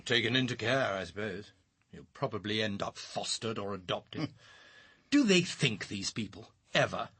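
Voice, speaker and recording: An actor playing a severe, opinionated, moralising official in a radio drama. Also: male voice, on the low side, animated, moderate pace, pushed and bright, breathy edge, full character voice. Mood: scornful.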